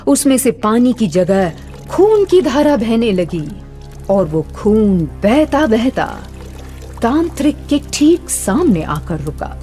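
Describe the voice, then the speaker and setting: A woman narrates dramatically, with a soft-edged, animated voice on the higher side. It is scripted horror storytelling over faint music. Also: slow.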